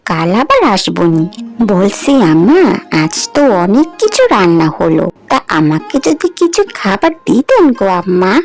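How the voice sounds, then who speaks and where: A woman's voice: medium pitch, big pitch swings, normal speed, faint music behind. A woman voicing a poor, dutiful young village maid in a cartoon fairy tale, earnest and pleading.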